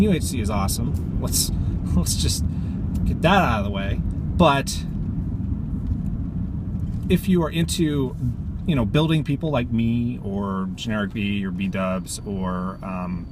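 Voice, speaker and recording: A man talking casually in a vlog, earnest and encouraging, like he is giving a pep talk. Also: mid-range voice. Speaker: video creator, vlogger. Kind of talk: casual motivational vlog chat. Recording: loud car and outdoor ambient noise.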